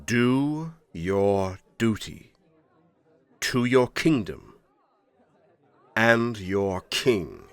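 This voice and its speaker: A man's voice: on the low side, very animated, extremely slow, long pauses, smooth, no room sound, exaggerated voice. An actor playing a stern, imperious older king in an audio roleplay drama, speaking formally and with weighty dramatic emphasis. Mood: stern, commanding.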